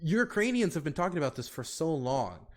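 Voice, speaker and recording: A man's voice: a little high, very animated, fairly quick. An American man hosting a podcast, talking casually and rambling with lots of fillers and restarts. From United States. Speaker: podcast host.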